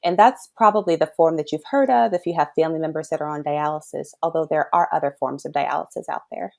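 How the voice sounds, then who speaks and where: A woman's voice: low-pitched, fast, creaky. A woman in her thirties from the south suburbs of Chicago, a children's kidney doctor talking earnestly in a casual interview and laughing easily. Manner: thoughtful, earnest, laughs often. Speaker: guest, pediatric kidney doctor.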